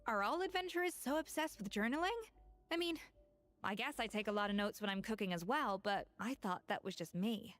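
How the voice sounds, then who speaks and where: A woman voicing an inquisitive, matter-of-fact young female chef in a video game, crisp and analytical. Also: mid-range voice, brisk, a bit bright, no echo, close to an everyday voice. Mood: thoughtful.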